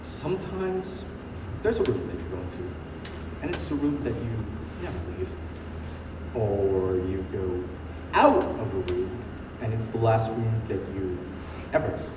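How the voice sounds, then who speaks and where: A man's voice: very animated, a little slow, long pauses. An actor on stage playing an aggrieved, doomed male revolutionary leader with theatrical, declamatory delivery. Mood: wistful, declaiming. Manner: declamatory, swinging between outrage and wistful musing. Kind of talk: theatrical comic stage acting. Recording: echoey hall from far off, noisy low-quality audio.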